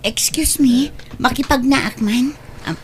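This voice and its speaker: A woman's voice: sits high, takes it slowly, loud music behind the voice. An actress with an Ilocano Filipino accent playing an anxious, polite but firm married woman in a radio drama. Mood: polite, uneasy. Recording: clear background noise and ambience.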